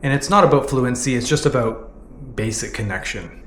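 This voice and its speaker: A man's voice: medium pitch, animated, moderate pace, a bit bright, roomy sound, slight background noise. A Canadian man in his thirties, earnestly narrating his own vlog in a candid way, often dropping "you know" as he talks. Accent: Canadian English. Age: 30s. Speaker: vlogger. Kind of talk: reflective personal vlog narration.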